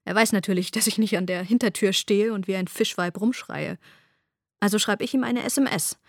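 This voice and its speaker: An actress performing a radio drama monologue, a wife narrating in the first person, wry and exasperated. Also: female voice, medium pitch, brisk, dry recording, studio quality.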